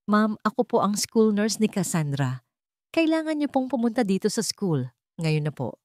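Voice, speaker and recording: A woman's voice: medium pitch, big pitch swings, moderate pace, darkish tone, dry recording, studio quality, pitched above the performer's own voice, lightly acted. A woman voicing a panicked but polite female school nurse, urgent and respectful, in a story drama.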